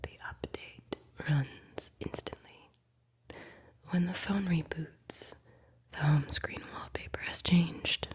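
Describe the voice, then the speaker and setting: ASMR host: woman, low breathy whisper, playful and teasing, close mic. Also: takes it slowly. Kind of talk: whispered ASMR guided visualization.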